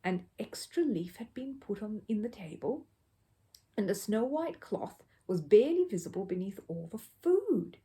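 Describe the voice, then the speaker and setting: A woman narrates a children's audiobook in a mellow, rounded voice. Her medium-pitched delivery is expressive and very varied in melody, as a storyteller's is.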